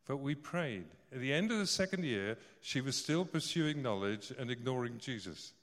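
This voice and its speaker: A man's voice: mid-range voice, lively intonation, normal speed, a bit bright. A man in his sixties preaching a sermon, telling stories with joking asides. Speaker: visiting preacher. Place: church service hall. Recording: long reverb of a large hall.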